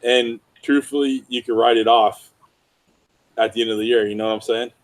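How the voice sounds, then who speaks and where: A man in a casual roundtable chat giving relaxed advice. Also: medium pitch, moderate pace, rough recording. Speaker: roundtable panelist.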